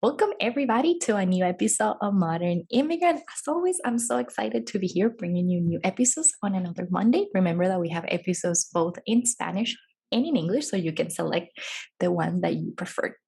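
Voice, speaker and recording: A woman presents a podcast in a chatty, conversational style. Her voice sits at a medium pitch with a husky edge. She speaks with effusive enthusiasm as host. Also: lively intonation, a little fast, mellow.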